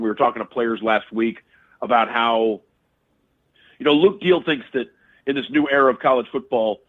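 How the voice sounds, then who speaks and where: Man on a tinny phone line. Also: mid-range voice. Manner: rambling, many restarts and fillers. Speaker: sports radio analyst. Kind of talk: casual sports talk.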